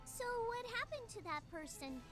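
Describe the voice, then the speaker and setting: A woman voicing a devoted, childlike little demon girl in an anime-style video game, adoring in manner, with music playing loudly. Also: very high-pitched, big pitch swings, normal speed. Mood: curious. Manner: adoring, speaks of herself in third person. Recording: very loud game music underneath.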